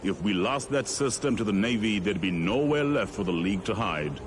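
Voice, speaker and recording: An actor playing a solemn veteran rebel pilot, narrating a video game cutscene in a grave, deliberate, portentous way. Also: male voice, lowish voice, lively intonation, background noise, lightly acted. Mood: weary, grim. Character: solemn male war veteran pilot of rebel colonial fleet. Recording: voice under loud background music. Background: loud music.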